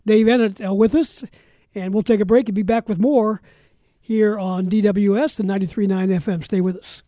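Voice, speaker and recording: A man's voice: sits high, steady tone, normal speed, mellow, no room sound, clean studio sound. A man hosting radio sports talk in a casual style, moving between chat and sponsor reads. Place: radio studio.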